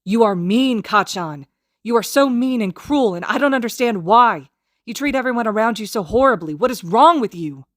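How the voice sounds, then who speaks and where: A woman reading a fanfic aloud, voicing a gentle teenage boy who shouts back in angry, pleading defiance. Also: on the high side, lively intonation, moderate pace, no echo, studio quality, pitched above the performer's own voice. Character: gentle teenage boy healer, pushed to anger.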